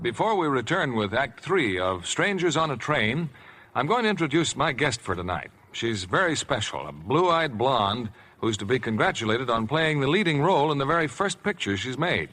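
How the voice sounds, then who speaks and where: A man's voice: mid-range voice, very animated, normal speed, sharp tone, low-quality recording. A man hosting and announcing in polished, theatrical, scripted 1950s radio style. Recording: vintage 1950s radio broadcast.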